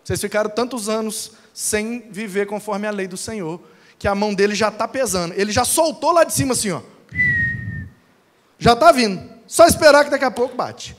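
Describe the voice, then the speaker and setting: A man's voice: high-pitched, normal speed, close to an everyday voice. A man acting out an unbothered woman prophet who speaks bluntly and colloquially, matter-of-fact about doom. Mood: blunt, ominous. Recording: long hall reverb.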